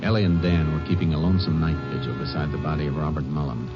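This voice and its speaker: An actor playing a shrewd, steady Texas Ranger in a 1950s radio drama, terse, probing and matter-of-fact. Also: male voice, very deep voice, fast, over loud music. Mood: calm. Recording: muffled, noisy old radio recording.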